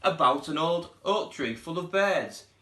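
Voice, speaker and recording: A man's voice: a little high, a little slow, echoey room. A man, a primary school teacher reading a children's storybook aloud expressively. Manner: expressive, putting on character voices.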